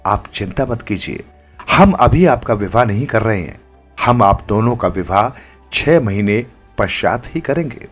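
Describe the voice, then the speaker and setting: A man's voice: fairly low pitch, expressive, unhurried, faint music behind. A man voicing a kindly king and father, formal, courtly and questioning, in a told story. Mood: puzzled, reassuring.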